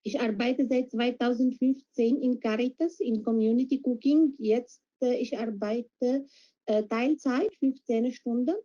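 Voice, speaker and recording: A woman speaks in a fairly high but very mellow, dark-toned voice. She searches for words and fills her pauses with hesitations. The audio is dull and lo-fi, like an online call. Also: fairly even intonation. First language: Arabic. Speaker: project participant. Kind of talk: interview answers in learner German. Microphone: video call.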